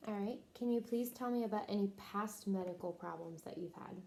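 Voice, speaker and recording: A woman's voice: medium pitch, brisk, gravelly, mellow. A woman interviewing someone for a health history, working methodically through prepared questions and briefly acknowledging answers. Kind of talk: scripted clinical questionnaire interview.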